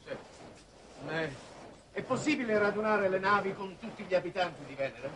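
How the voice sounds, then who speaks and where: An actor playing an idealistic, wordy head of a space commission in a 1960s Italian television drama, oratorical and halting. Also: male voice, high voice, expressive. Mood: flustered, formal. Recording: noisy old television soundtrack.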